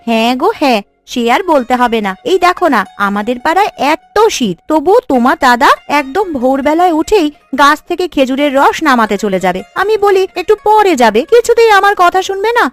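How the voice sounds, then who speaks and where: A woman voicing an expressive, fretful village housewife in a cartoon, with a gossipy, nagging way of talking. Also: high-pitched, brisk, faint music behind. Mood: chatty. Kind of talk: cartoon voice acting.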